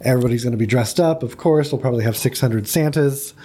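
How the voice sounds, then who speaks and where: A man's voice: mid-range voice, fast, warm tone. A man hosting a studio talk show, asking upbeat questions and affirming his guests with brief interjections.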